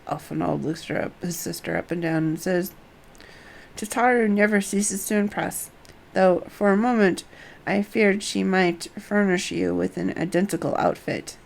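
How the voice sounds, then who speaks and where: A woman's voice: fairly low pitch, normal speed, creaky, a little hiss or hum, rough recording. A woman game streamer commenting live and reading dialogue aloud.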